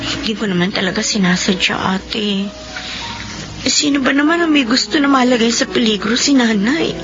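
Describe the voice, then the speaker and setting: An actress with a Filipino accent playing a devoted, remorseful adult daughter in an emotional, pleading style of radio drama. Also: female voice, fairly high pitch, moderate pace, lightly acted. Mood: anguished, guilty. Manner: pleading, fretful. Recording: muffled radio drama audio, music bed.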